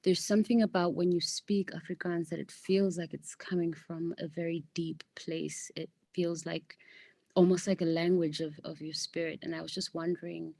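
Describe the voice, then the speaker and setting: Hesitant woman with a gravelly, flat voice. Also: fairly low pitch, moderate pace, no echo. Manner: hesitant, groping for words. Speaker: audience member asking a question. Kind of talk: casual question.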